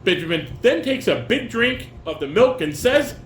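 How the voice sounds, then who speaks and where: Older man coaching with a lively voice, roomy sound. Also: very high voice, moderate pace. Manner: instructing, corrective. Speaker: father directing a home table read. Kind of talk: casual directing and reading stage directions aloud. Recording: roomy home recording with clear background noise.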